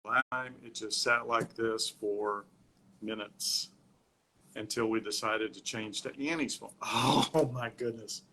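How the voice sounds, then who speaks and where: Halting middle-aged man on a phone livestream. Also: fairly low pitch, steady tone, bright, forceful tone, a little hiss or hum. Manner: halting, many fillers and restarts. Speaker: host telling his health story. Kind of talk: casual livestream talk. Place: home.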